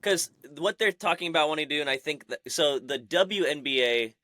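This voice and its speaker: Excitable male co-host, bright forceful tone, dry close sound. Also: on the high side, a little fast, no echo. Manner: chatty, excitable, loose. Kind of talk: casual podcast chat.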